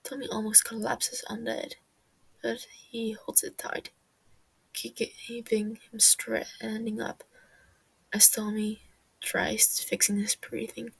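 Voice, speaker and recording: A woman reading a story as scripted narration, her phrases often falling into a breathy hush. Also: on the high side, monotone, slow, dry recording, poor audio. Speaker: story reader. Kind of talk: scripted narration of fan fiction.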